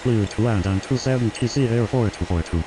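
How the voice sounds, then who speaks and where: A man playing an airline pilot in a game, giving formulaic clearance requests and readbacks by radio. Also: on the low side, brisk, close to an everyday voice. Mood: routine, businesslike. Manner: formulaic, procedural phrasing. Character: male airline pilot making routine radio calls. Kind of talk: scripted air traffic radio readbacks. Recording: noisy background hum.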